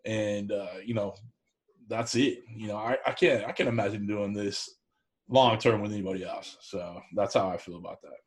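A man's voice: on the low side, fairly even intonation, normal speed. A man in a casual conversation, talking in a rambling, folksy and plain-spoken way as a show host. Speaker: co-host of an online cooking show, interview guest.

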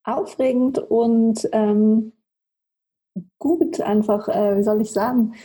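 Woman, podcast guest, from Switzerland, Swiss German native, very soft dark tone, husky, conversational. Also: fairly high pitch, steady tone, takes it slowly, rough recording. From Switzerland, grew up in Zurich. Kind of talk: conversational life-story interview.

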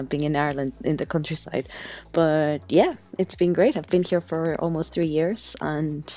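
Low-voiced woman. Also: a little mellow. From Mexico. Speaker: podcast guest, natural textile dyer. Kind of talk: casual interview talk.

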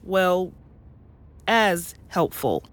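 A woman playing a loving, patient, academic mother in an audio drama, explaining things gently and thoughtfully, with some hesitation. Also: on the low side, big pitch swings, very slow, exaggerated voice. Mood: thoughtful, hesitant.

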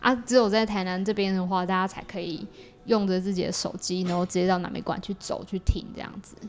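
A woman's voice: fairly low pitch, steady tone, fast. A woman with a Taiwanese Mandarin accent, explaining things in a casual interview as a podcast guest. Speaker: podcast guest, creator of an audio theatre work.

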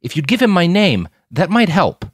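A man's voice: high voice, big pitch swings, normal speed, husky, dry recording, studio quality, voice pushed up, heavily acted. A man voicing an earnest, anxious young fixer in an audiobook, speaking with polite, pleading urgency. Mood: eager, urgent.

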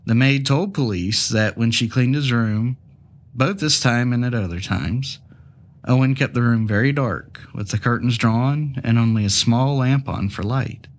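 A man's voice: mid-range voice, a little slow. A man hosting a true crime podcast, sounding stuffy from a cold, telling the case with casual, jokey asides. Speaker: podcast host.

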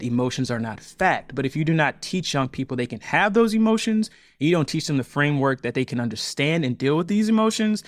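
Animated man in his thirties, laughing often. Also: a little high, no echo. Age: 30s. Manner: animated, laughing often, emphatic and preachy at times. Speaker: podcast guest, audio fiction creator. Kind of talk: conversational interview.

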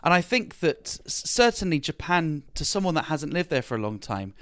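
A man from England, a comedian, giving energetic and articulate answers as an interview guest. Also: fairly high pitch, moderate pace, dry recording, clean studio sound. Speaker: comedian, podcast host, guest.

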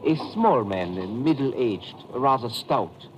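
A man's voice: mid-range voice, lively intonation, slow pace, muffled recording. An actor playing a polite, formal, slightly hesitant male airport clerk in a 1950s radio drama. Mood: courteous, inquisitive. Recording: old radio recording with loud noise.

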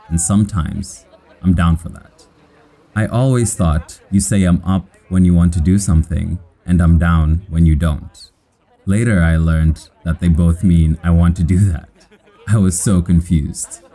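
A man from Kenya with an American accent, narrating his own life in measured, scripted, plainly worded short sentences. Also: low voice, mellow. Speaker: storyteller.

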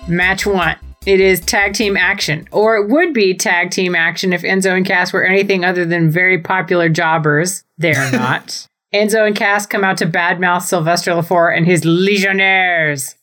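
A man's voice: high voice, fairly even intonation, moderate pace, few pauses, sharp tone, faint music behind. Exclamatory man recapping wrestling. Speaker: podcast co-host. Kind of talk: casual, jokey wrestling recap.

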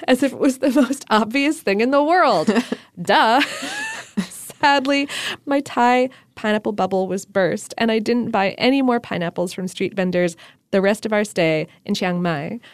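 Woman podcast co-host, wry and deadpan, very dry close studio sound. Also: a little high, moderate pace, no room sound. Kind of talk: casual chat and reading listener mail.

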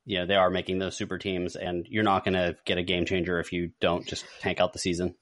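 A man's voice: sits low, level tone, fast talker, a bit bright. A man hosting a podcast in a casual, conversational panel chat. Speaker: podcast host.

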